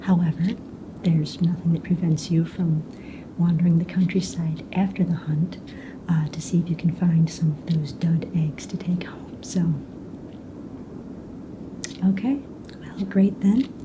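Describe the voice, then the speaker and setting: A woman's voice: steady tone, measured pace, long pauses, close to an everyday voice. Whispering fantasy hunt hostess played by a woman. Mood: helpful, patient, then friendly, encouraging. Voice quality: soft, breathy whisper. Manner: casual, hesitant, explanatory, matter-of-fact about rules and danger. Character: calm, friendly mistress of ceremonies of a fantasy dragon egg hunt. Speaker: ASMR roleplayer. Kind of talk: soft-spoken whispered ASMR roleplay. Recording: noisy close recording with low rumble.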